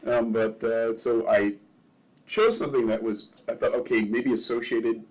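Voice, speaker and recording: An older man from Maryland, a ward councilman, telling relaxed stories in a casual interview and chuckling often. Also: mid-range voice, moderate pace, poor audio.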